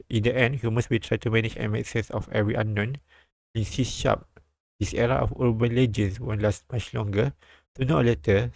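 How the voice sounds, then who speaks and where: A man streaming a live read-aloud of a visual novel, halting and repeating lines as he goes. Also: lowish voice, gravelly, no room sound.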